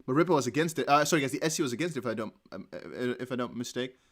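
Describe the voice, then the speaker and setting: A man with a Dutch accent, a native Dutch speaker, giving chatty, excitable live commentary on a court hearing. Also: medium pitch, rapid-fire. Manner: excitable, chatty, laughs often. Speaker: crypto news streamer.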